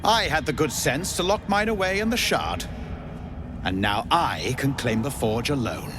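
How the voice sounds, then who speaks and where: A man's voice: mid-range voice, expressive, unhurried, background noise, natural voice. A man playing a pompous, scheming drow wizard in a video game, theatrical and haughty but given to obsequiousness. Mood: gloating. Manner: theatrical, haughty, stammering when deferential.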